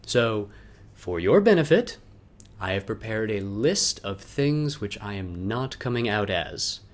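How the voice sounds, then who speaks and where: Wry man with a lowish voice. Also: very animated, a little slow. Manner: wry, self-deprecating, joking asides. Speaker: video creator. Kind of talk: casual vlog intro, then loose dramatic reading of a personal letter.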